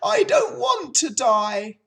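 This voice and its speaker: Lady doing a childish little boy pig from a kids book, super high, whiny and panicky, pleading. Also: huge pitch swings, drawn-out words, darkish tone, heavily acted. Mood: wailing. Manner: wailing, pleading, emotional. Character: anxious, childish young male pig, easily panicked. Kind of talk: storybook character voice.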